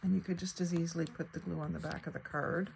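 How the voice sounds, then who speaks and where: A woman speaks low and gravelly, her voice a little crackly and hoarse from a cold. She talks through a paper craft project in an unhurried, chatty way, musing aloud as she cuts and glues. A faint hum of room ambience sits under her voice. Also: fairly even intonation, a little mellow. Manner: thinks aloud while working, unhurried and chatty. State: slightly hoarse, fighting off a cold. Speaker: craft channel host. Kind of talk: casual crafting tutorial narration.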